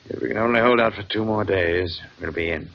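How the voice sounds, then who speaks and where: Gravelly, weary old sea captain, played by a man. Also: on the low side, brisk, lightly acted. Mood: weary concern. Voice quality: gravelly, husky. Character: weary, fatherly older ship's captain, steady and consoling. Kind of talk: 1940s-50s radio drama acting. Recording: dull vintage radio drama recording.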